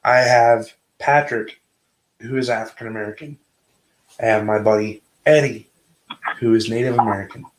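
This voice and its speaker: A man's voice: on the low side, fairly even intonation, moderate pace, long pauses, echoey room, low-quality recording. A man hosting a casual, heated livestream chat, earnest and often stumbling over and repeating his words.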